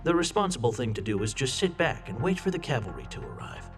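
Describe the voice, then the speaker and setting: An actor playing a smooth-talking advisor who counsels patience in a video game cutscene. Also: male voice, mid-range voice, big pitch swings, fast, over music, lightly acted. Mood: reassuring.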